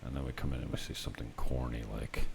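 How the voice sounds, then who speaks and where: A man's voice: rumbling low, steady tone, quick pace, gravelly, a little hiss or hum. A man hosting a podcast, rambling casually and irreverently, swearing freely and poking fun at himself. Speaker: podcast and radio host. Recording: music playing behind the voice. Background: show theme song.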